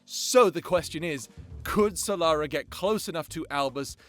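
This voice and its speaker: A man's voice: on the high side, animated, moderate pace, a bit bright, faint music behind. A man hosting a channel with casual, sweary, rambling commentary in an informal, chatty way. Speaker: creator and host of a fan-facing channel.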